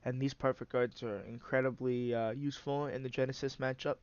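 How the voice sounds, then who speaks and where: A man's voice: mid-range voice, flat delivery, fairly quick, smooth, no room sound, highs cut off. Stuffy-nosed man commentating a card game. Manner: rambling, many "uh" fillers, restarts. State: congested, stuffy nose. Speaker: host and commentator.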